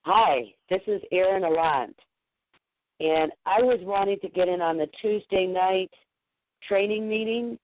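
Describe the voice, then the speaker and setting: A woman's voice: mid-range voice, a little slow, no room sound. A woman calling in with a casual question on a conference phone call. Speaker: caller asking a question.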